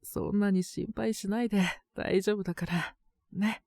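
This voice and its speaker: A woman playing a boyish, slightly mean but doting teenage girlfriend in a romantic audio drama, speaking in a soft, intimate, teasing way. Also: medium pitch, fairly even intonation, moderate pace, no room sound, clean studio sound, lightly acted. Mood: gentle, reassuring. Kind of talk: Japanese romantic situation voice acting.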